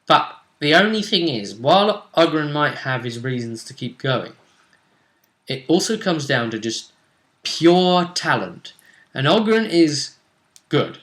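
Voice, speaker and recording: A man's voice: fairly high pitch, big pitch swings, unhurried, a bit bright. A man hosting a role-play vidcast, talking casually through previews of upcoming matches.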